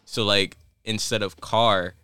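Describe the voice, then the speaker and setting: A man's voice: fairly low pitch, steady tone, slow pace, pushed and bright, clear, resonant tone, no room sound. A man co-hosting a podcast in loose, rambling casual chat, full of "like" fillers. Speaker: podcast co-host.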